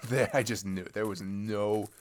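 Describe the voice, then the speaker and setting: A man's voice: fairly low pitch, steady tone, measured pace, a little hiss or hum, lightly acted. A young man playing a chatty, sarcastic teenage traveler in a casual audio roleplay, rambling in hesitant slang. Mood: curious, gossipy. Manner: rambling, hesitant, slangy, filler-heavy, giggly. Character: chatty, sarcastic teenage boy traveler swapping road stories.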